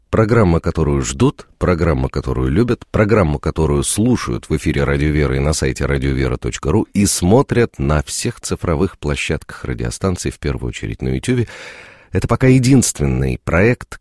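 Male radio presenter, very low and congested, nasal, dramatic phrasing, close dry studio recording. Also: darkish tone, no echo. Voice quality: nasal from a cold. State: sick with a cold. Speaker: radio programme host. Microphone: studio microphone.

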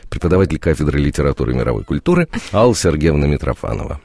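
A man's voice: rumbling low, very animated, racing, vocal fry, a little mellow, no echo. A man with a cold and a nasal voice, hosting a radio programme with theatrical, ornate phrasing. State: sick with a cold. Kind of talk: unhurried radio presenting and studio chat. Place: radio studio. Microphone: studio microphone.

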